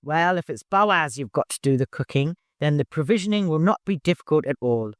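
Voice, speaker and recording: Man acting out mischievous old man, swooping high. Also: lively intonation, a little fast, no room sound, clean studio sound, close to an everyday voice. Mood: brisk. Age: older. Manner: teasing, playful, exclamatory. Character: mischievous, teasing old storekeeper man, grumpy and cackling. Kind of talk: audiobook character voice.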